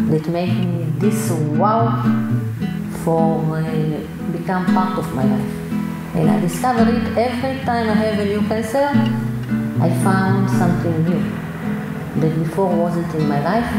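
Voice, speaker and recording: A woman in her fifties from Israel, with a Hebrew accent, speaking slow and halting English in an interview in a yoga studio. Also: fairly low pitch. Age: 50s. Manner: slow, halting non-native English with pauses. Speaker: yoga teacher. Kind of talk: personal interview. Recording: roomy hall echo with loud guitar music.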